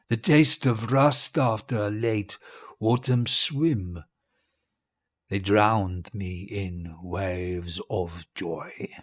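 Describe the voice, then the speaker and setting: Cruel old dictator, husky, drawn-out delivery, grandiose, rambling, dying, audio drama monologue. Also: male voice, sits low. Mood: weary, reminiscing. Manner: grandiose, rambling, imperious, halting. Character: cruel, vain old dictator giving his dying speech.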